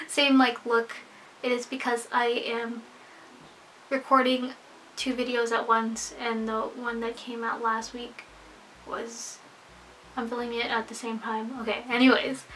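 A woman's voice: a little high, a little hiss or hum, poor audio. A young woman vlogging casually from her bedroom, chatty and bubbly, her voice a bit worn from losing it. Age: young adult. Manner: chatty, bubbly, animated hand gestures. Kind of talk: casual chat to camera. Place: small bedroom full of anime figures, indoors.

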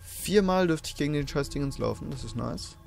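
A hoarse man casually commenting on his gameplay, chatty and swearing now and then as he explains things to viewers. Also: on the high side, animated, fairly quick, faint music behind. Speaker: let's play host.

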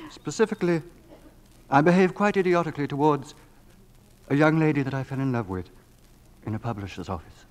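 An actor playing a brazen, flirtatious gentleman author, with a smooth, teasing manner in period radio drama style. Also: fairly high pitch, fairly quick, long pauses, lightly acted. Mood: ardent, flirtatious. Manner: smooth, teasing, mock-hesitant courtship.

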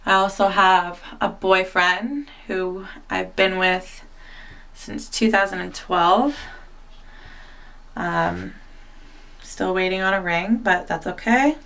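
A woman's voice: mid-range voice, a bit bright. A young woman, a new mom, chatting casually to camera at home with lots of fillers. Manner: chatty and self-deprecating, many fillers. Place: home, indoors. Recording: voice a bit far from the mic.

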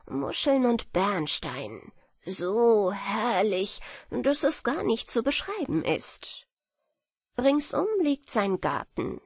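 A woman reading the part of an old grandmother who tells eerie lake legends to children in a hushed, cautioning way. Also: medium pitch, gravelly, husky, no echo, clean studio sound, full character voice. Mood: hushed wonder. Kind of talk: fairy-tale storytelling.

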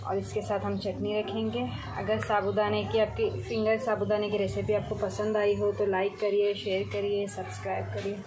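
A woman hosting a cooking channel, calmly explaining a recipe step by step from her home kitchen. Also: mid-range voice, moderate pace, few pauses, background noise.

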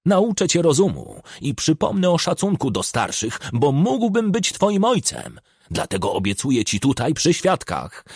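A man reading an audiobook plays a proud old village healer, offended, deliberate and threatening. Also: mid-range voice, creaky, slightly rough, dry recording, clean studio sound, voice pushed up, full character voice. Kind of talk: audiobook character acting.

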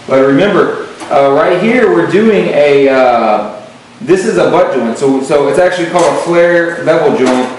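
A man's voice: on the high side, animated, unhurried. A male welding instructor giving a hands-on lesson, in a plain way with lots of fillers and repeated words. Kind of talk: hands-on tutorial. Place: metal workshop. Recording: very echoey workshop, distant mic.

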